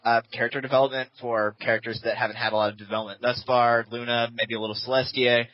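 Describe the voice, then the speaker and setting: A man's voice: mid-range voice, level tone, fast talker. Man rambling on a dull call line. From Texas. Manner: rambling, hesitant, many fillers. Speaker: podcast panelist. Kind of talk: casual group chat over a call. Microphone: voice call mic. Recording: dull lo-fi call audio, dry.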